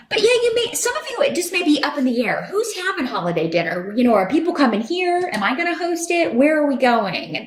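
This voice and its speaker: Woman tarot reader, lively swooping pitch. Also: a little hiss or hum. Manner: conversational, rambling, reassuring. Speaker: tarot reader and channel host. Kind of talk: unscripted tarot reading to viewers. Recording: roomy echo.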